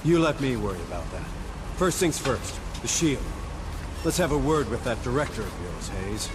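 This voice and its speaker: An actor playing a hard-bitten military sergeant in a video game cutscene, talking in a gruff, confrontational, commanding way. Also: male voice, medium pitch, big pitch swings, normal speed, close to an everyday voice. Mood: tough, commanding. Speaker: sergeant in charge. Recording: game audio with ambient noise.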